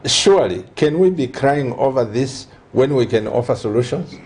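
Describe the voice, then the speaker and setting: A man, hosting a conversational interview in a deliberate, halting way of phrasing. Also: medium pitch, expressive, darkish tone. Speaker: host, church leader.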